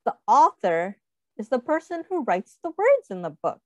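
A woman teacher reading a picture book aloud to children, pausing to ask them questions and putting on small voices for the characters, speaking into a webcam. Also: fairly high pitch, no room sound. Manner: animated, asks children questions, does little character voices.

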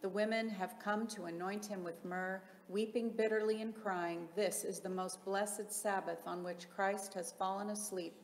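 A woman reading solemn liturgical hymns aloud in a measured, formal, chant-like delivery. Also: medium pitch, steady tone. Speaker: liturgical reader in church. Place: large reverberant interior. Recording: echoey reverberant hall, faint background noise.

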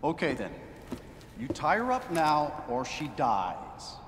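A menacing, corrupt man in authority, barking commands and sneering threats. His pitch swings widely, and the sound rings in a large hall over faint noise. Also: wildly swooping pitch, slow, fairly bright tone, full character voice. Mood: cold, menacing. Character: menacing, corrupt male authority figure bullying captives. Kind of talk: dramatic video game cutscene acting.

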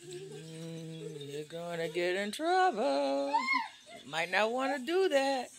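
A middle-aged American woman chatting casually with a small child at home, coaxing and gently scolding from behind a handheld phone. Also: medium pitch, big pitch swings, takes it slowly, vocal fry, noisy background. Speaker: caregiver filming a toddler at play. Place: cluttered carpeted living room.

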